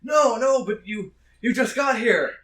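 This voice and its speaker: A man's voice: extremely high pitch, a little slow, fairly bright tone, voice pushed up. A man playing a drunk, clingy, rambling older townsman who pleads and repeats himself. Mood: pleading. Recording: roomy distant mic with noise.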